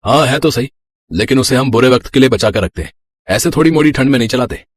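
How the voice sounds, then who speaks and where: A man's voice: mid-range voice, fast, dry recording, lightly acted. A man playing an easygoing young husband in a cartoon moral story, casual, reassuring and teasing. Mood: unbothered.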